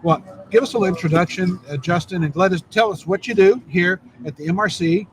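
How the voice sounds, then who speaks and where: A slightly hoarse man hosting an interview outdoors, friendly and rambling, often repeating words. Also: high-pitched, brisk. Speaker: host. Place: outdoors on a bench by a street. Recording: street noise behind.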